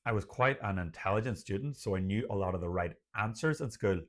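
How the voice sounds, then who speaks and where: An Irish man, a doctor who stutters, speaking earnestly to camera about his experience in a personal vlog. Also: deep voice. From Ireland. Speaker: doctor and stuttering coach, channel host.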